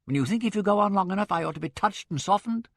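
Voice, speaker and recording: A man's voice: high-pitched, expressive, quick pace, creaky, a bit bright, dry recording, studio quality, voice pushed up, heavily acted. A man voicing a bitter, proud young woman who speaks in a cutting, resentful way. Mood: scornful.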